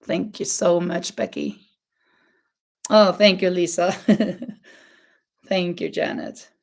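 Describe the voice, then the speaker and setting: A woman's voice: on the low side, very animated, slow pace, vocal fry. Chatty woman with a non-native English accent. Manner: chatty, laughs often, hunts for words. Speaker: crochet live-stream host. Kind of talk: casual live-stream chat, answering viewer comments.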